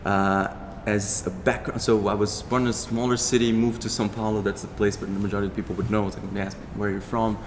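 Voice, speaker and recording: A man's voice: on the low side, flat delivery, quick pace, few pauses. A young man from Brazil with a Brazilian accent, a startup founder talking in a casual interview, rambling with many restarts and fillers. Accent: Brazilian Portuguese. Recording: long reverb, clear background noise.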